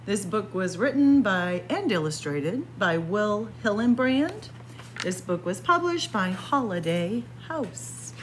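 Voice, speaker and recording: A woman reading a picture book aloud to young children in an expressive manner, addressing them directly as friends. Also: medium pitch, takes it slowly, a little hiss or hum. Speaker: library story time reader. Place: indoors in a library, by bookshelves.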